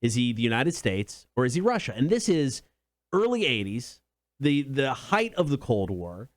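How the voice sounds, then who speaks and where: A man's voice: medium pitch, sing-song, moderate pace, dry recording, studio quality. A man co-hosting a podcast, telling stories casually with slangy, emphatic enthusiasm. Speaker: podcast co-host. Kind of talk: casual chat and storytelling.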